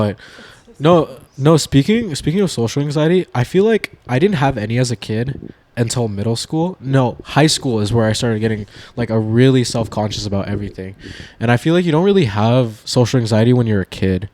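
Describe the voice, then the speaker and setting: A man's voice: mid-range voice, expressive, brisk, darkish tone, no room sound. A young man co-hosting a podcast, chatting casually and often restarting his sentences. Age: young adult. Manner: reactive, repeats himself. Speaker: podcast co-host. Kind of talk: casual chat.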